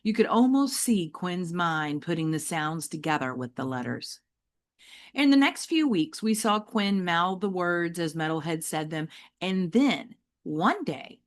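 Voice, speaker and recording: Woman storyteller for a children's novel: lower register, very animated intonation, deliberate and emphatic phrasing. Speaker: teacher reading a children's novel aloud. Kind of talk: expressive audiobook-style storytelling for children.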